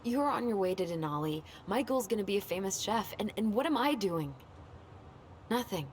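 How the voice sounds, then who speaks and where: An actress playing a self-doubting, caring young adult sister with an American accent in a naturalistic video game cutscene. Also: female voice, medium pitch, animated, normal speed, a little mellow, slight background noise, lightly acted. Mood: guilty, upset.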